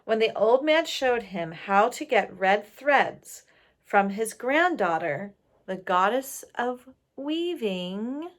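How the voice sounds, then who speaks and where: Slow, lively woman reading a story aloud. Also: a little high. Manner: pauses to question listeners. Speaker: storybook reader. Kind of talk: expressive read-aloud to listeners.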